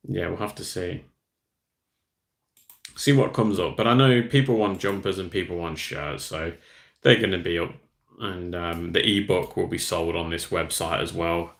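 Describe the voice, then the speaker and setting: A man's voice: low voice, creaky, a bit bright. A man, host of a hobby channel, chatting casually on a livestream, rambling and reading out viewer comments. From Australia.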